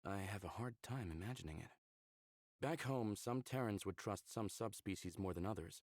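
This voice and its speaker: Game role: supportive, curious human man, low voice with gravelly fry, casual delivery. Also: moderate pace, no echo, clean studio sound. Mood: curious, sympathetic. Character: friendly, curious male human, supportive lover. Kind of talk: visual novel character acting.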